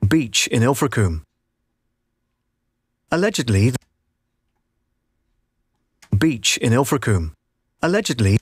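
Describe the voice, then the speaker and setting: A man's voice: medium pitch, big pitch swings, slow, pauses often, breathy edge, no room sound. Middle-aged male narrator, dry booth sound. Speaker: voiceover artist. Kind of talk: scripted documentary-style narration, demo takes. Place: voiceover booth. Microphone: close studio microphone.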